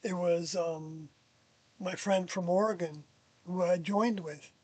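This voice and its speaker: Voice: medium pitch, a little mellow, no room sound. Hesitant older speaker, dry close sound. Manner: hesitant, searching memory with long pauses. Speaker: interviewee, former member of a group recalling past experiences. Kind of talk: casual reminiscing.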